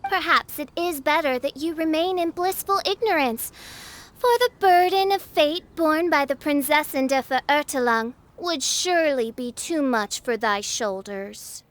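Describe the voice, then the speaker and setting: Pompous dark princess. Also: female voice, sits high, unhurried. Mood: haughty. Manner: theatrical, archaic and pompous speech. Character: grandiose young self-styled dark princess. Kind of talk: video game voice acting.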